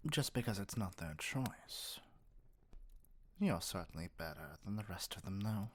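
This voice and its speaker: A man's voice: deep voice, expressive, measured pace, a little hiss or hum. A man voicing a spoiled, haughty evil king in an ASMR roleplay, speaking in a theatrical, condescending drawl. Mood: smug, condescending.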